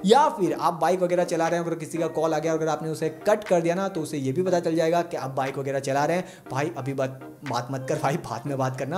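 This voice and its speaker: Man, fairly high voice, tech tutorial host, informal, chatty, buddy-to-buddy explaining. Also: a little mellow. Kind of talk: casual smartphone tips tutorial.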